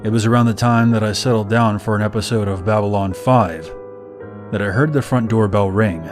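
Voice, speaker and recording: A man's voice: on the low side, fairly quick. Male horror story narrator over music. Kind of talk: scripted narration of a first-person horror story.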